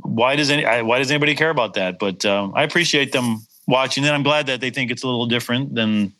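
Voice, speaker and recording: Man, middle-aged, Upper Midwest accent, rambling and self-deprecating, bright tone. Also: medium pitch, quick pace, rough recording. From Wisconsin. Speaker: guitarist. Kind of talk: casual chat.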